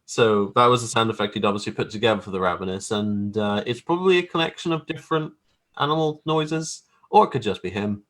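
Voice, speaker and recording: A man's voice: lowish voice, quick pace, a bit bright. A man talking casually as an interview guest about his creative work, hesitant and thinking aloud. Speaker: guest, graphic artist.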